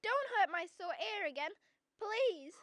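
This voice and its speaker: A woman's voice: very high-pitched, big pitch swings, a little slow, fairly bright tone, no room sound, clean studio sound, full character voice. A young female performer playing an earnest, pleading young errand boy in a radio drama. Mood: frightened, pleading.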